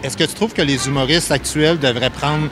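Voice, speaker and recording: A man in his thirties with a Québécois accent, interviewing passersby in a shopping mall with a handheld mic, animated and teasing. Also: medium pitch, moderate pace, over music. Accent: Québécois French. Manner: animated, teasing, reads jokes aloud. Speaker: street interviewer, comedian. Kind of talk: vox pop street interview.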